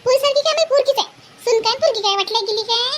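A woman voicing a reassuring, talkative middle-aged mother-in-law in a cartoon, emphatic and repetitive, with a Varhadi Marathi accent and pitched-up voice. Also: very fast, a bit bright. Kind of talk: homemade cartoon family drama.

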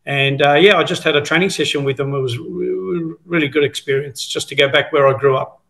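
A man in his fifties who grew up in Italy speaks over a laptop video call from a room at home. His voice sits a little high and sounds bright and forward. He rambles through his memories with plenty of fillers and restarts. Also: brisk, vocal fry, poor audio. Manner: rambling storytelling with many fillers. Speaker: guest, former footballer. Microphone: video call, laptop camera.

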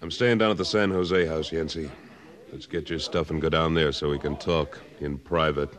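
A man playing a tough, steady cattleman and war veteran in an old-time radio western, firm and terse. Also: extremely low pitch, normal speed. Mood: easygoing.

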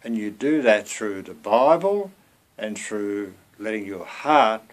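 A man's voice: on the low side, expressive, takes it slowly. A man in his seventies giving an informal, earnest, conversational sermon to camera as a lay preacher, often turning to rhetorical questions, recorded at home on a computer mic. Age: 70s. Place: small cluttered room at home.

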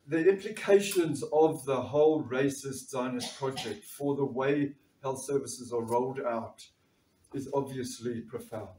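A man's voice: mid-range voice, dark tone, a little hiss or hum. A man in his sixties from South Africa with a South African accent, giving an earnest talk at a meeting and reading figures from notes with frequent restarts. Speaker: doctor and panel speaker. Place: bright meeting room, standing before a panel table. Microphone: far camera. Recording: echoey room from far off.

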